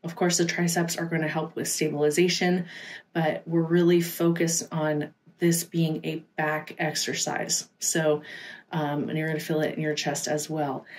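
A woman's voice: deep voice, darkish tone. A woman giving an instructional workout voice-over as a fitness instructor, explaining each step in a casual coaching manner.

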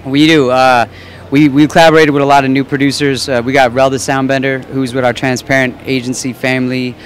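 A man's voice: mid-range voice, little pitch movement, brisk. A young man from Los Angeles, a musician guest answering at an indoor event on a handheld mic, laid-back and rambling. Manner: laid-back, rambling, many fillers and restarts. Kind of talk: casual interview talk.

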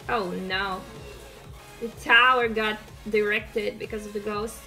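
A woman's voice: mid-range voice, fairly even intonation, slow pace, bright, forceful tone, soft background music, low-quality recording. A young woman from Croatia with a Croatian accent, giving upbeat, chatty commentary on her own mobile gameplay from a desk at home. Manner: upbeat, chatty, hums along while playing. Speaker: gaming streamer.

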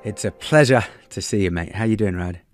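A man's voice: fairly low pitch, brisk, faint music behind. A man hosting a podcast interview, friendly and chatty, addressing his guest as mate. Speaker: podcast host. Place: home room with sloped ceiling. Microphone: studio mic on arm with headphones.

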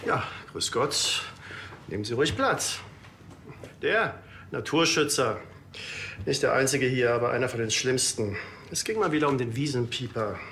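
An actor playing a slightly weary town hall official, wry and businesslike, in a TV crime comedy. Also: male voice, mid-range voice, animated, moderate pace, fairly bright tone, slight background noise.

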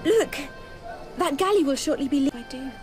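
An actress playing a gentle, anxious young woman in a radio drama, tender and earnest. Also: sits high, animated, normal speed, over music.

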